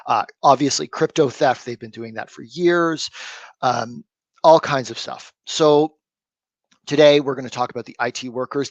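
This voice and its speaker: A man's voice: mid-range voice, no room sound. Chatty man explaining on a panel. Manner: loose and conversational, many fillers. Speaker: threat intelligence researcher, panelist. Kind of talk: casual panel discussion.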